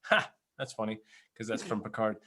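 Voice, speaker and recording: A man's voice: fairly low pitch, fairly even intonation, brisk, bright, forceful tone. A man co-hosting a casual podcast, talking as an opinionated fan and often repeating and rephrasing himself. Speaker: podcast co-host.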